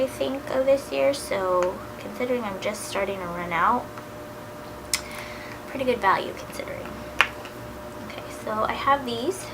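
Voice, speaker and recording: A woman in her thirties with a cold, a little nasal, giving a chatty makeup tutorial at home in a casual voice that now and then drops to a soft near-whisper. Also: mid-range voice, vocal fry, background noise. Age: 30s. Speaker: beauty vlogger.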